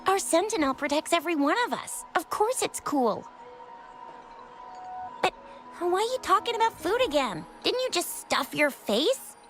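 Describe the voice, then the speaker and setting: An earnest young game companion with a teasing edge. Her voice is high and lively, jumping up and down. Soft game music plays behind. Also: moderate pace, full character voice. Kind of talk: video game cutscene voice acting. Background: faint game music.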